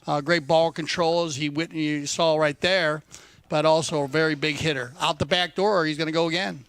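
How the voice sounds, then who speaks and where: Sports commentator, man, upbeat and chatty, slightly higher voice. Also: fairly even intonation, moderate pace, fairly bright tone, clean tone. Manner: enthusiastic, chatty. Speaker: polo commentator.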